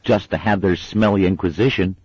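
A man's voice: low-pitched, fairly quick, darkish tone, breathy edge, no echo, dull audio, voice pushed up. A man voicing an indignant, griping young boy in a storybook reading.

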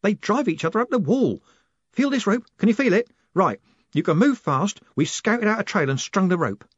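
A man voicing a nervous young male wizard student who speaks in a hurried whisper, in a dull, dry recording. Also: high voice, animated, breathy edge, dry recording, voice pushed up. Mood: urgent.

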